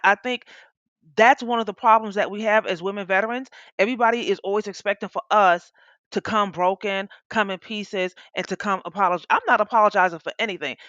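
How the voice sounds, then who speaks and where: A woman's voice: medium pitch, fairly bright tone, no room sound, muffled recording. A woman advocate speaking in a blunt, emphatic, impassioned way on a panel, repeating phrases for force. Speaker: panelist, women veterans advocate.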